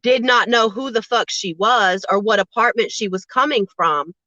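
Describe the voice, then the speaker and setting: A woman in casual conversation, telling her side bluntly and with profanity in a naturally loud voice. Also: mid-range voice, moderate pace, no room sound, highs cut off. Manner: blunt, profane, emphatic storytelling; says she has a naturally loud voice. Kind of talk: casual chat on a livestream.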